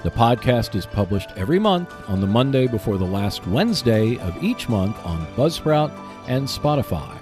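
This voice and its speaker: A man hosting a hobby podcast, reading scripted announcements in a measured, clearly enunciated way. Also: mid-range voice, sing-song, over music. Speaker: podcast host.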